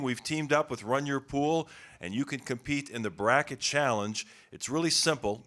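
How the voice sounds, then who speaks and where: A man's voice: medium pitch, bright, forceful tone. A man co-hosting a sports podcast, giving a sponsor read with a polished, announcer-like delivery. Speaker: podcast co-host.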